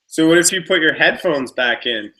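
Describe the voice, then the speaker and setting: A tired man co-hosting a live-stream talk show over a video call, quick and self-mocking. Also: on the high side, animated, sharp tone, rough recording. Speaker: co-host. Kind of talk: casual live-stream chat.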